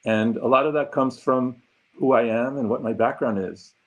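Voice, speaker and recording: A man in his sixties from Argentina whose first language is Spanish, speaking from his home office as an interview guest, thoughtful and often pausing with ums and uhs. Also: mid-range voice. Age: 60s. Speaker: guest, consultant. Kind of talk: conversational interview answers.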